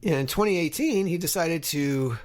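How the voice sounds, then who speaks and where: A man's voice: fairly high pitch, animated, normal speed. A man of fifty hosting a podcast in a casual, conversational style, talking directly to his listeners as he introduces things. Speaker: podcast host.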